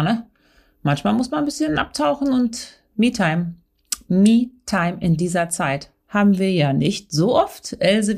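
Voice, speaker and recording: A woman with a Berlin accent giving a brash, slangy comic monologue to a friend, mixing in English phrases and playing with theatrical swings in her voice. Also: darkish tone. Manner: playful, theatrical pitch swings.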